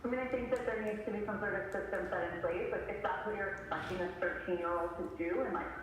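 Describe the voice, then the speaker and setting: A woman's voice: medium pitch, fast talker. A woman speaking as a parent on a school call, challenging and insistent, leaning often on filler words. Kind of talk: training role-play of a parent phone call. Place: large echoey room, far from mic. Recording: very echoey hall, distant mic, faint noise.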